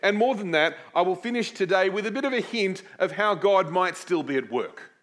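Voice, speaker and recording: A man's voice: sits high, brisk. A man preaching a teaching sermon. He explains scripture in a chatty way, with asides that poke fun at himself. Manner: animated, swooping emphasis on key words; chatty, with self-deprecating asides. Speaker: preacher teaching a series on a Bible book. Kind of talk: sermon with Bible teaching and prayer.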